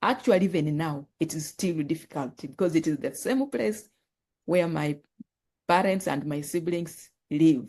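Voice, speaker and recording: Woman guest, low-ish warm tone, unhurried, earnest with frequent restarts. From Uganda. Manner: earnest, halting, repeats words and restarts often. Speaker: guest, author and nonprofit founder. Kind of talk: telling her life story in an interview.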